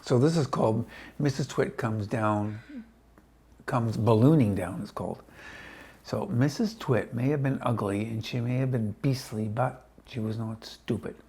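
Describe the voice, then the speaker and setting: A man reading a children's storybook aloud casually, with chatty asides to his listeners and a slightly husky voice. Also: mid-range voice, measured pace, warm tone. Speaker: storybook reader.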